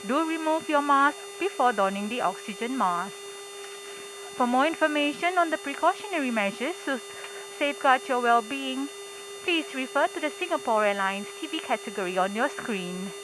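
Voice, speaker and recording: A woman's voice: high-pitched, background noise. A woman flight attendant reading a scripted cabin announcement.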